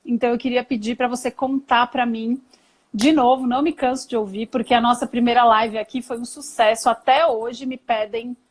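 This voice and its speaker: A woman hosting a live video chat as a doctor, speaking warmly and conversationally to her guest. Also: a little high, steady tone, normal speed. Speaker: doctor, live stream host. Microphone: video call.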